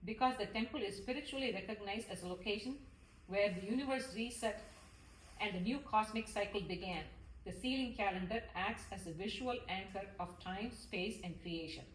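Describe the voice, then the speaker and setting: A woman giving a lecture read from notes, halting now and then over longer terms. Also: medium pitch. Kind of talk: lecture read from notes with some informal asides. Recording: echoey room, distant mic, faint noise.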